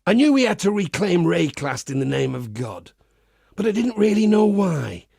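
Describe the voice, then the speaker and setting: A man voicing a pious, wry crusader priest in a video game, with a husky, gravelly voice and a measured, folksy preacher's manner. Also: high voice, very animated, darkish tone, no room sound, clean studio sound, heavily acted. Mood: earnest, confessional. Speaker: game character. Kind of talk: scripted game character monologue.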